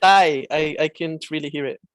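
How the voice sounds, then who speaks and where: A man's voice: a little high, moderate pace, fairly bright tone, clean tone, no echo. A young man teaching a live online trading lesson, casual and encouraging with slangy remarks. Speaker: trading mentor.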